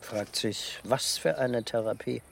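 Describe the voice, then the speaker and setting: An actor playing a sardonic, probing police detective in a radio crime drama, with a dry, needling manner. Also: male voice, medium pitch, a little slow, vocal fry, slight background noise. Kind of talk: naturalistic German radio drama acting.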